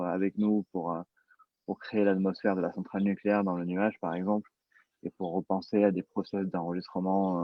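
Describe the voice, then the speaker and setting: Male panel guest, producer type. Low voice, informal slangy talk, dry sound. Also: dry recording, lo-fi sound. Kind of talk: casual panel discussion.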